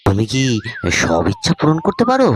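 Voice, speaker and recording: A man's voice: a little high, wildly swooping pitch, brisk, a little hiss or hum, voice pushed up. A man voicing a poor, innocent young scrap-picker in a fairy-tale cartoon, hesitant and full of wonder. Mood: amazed.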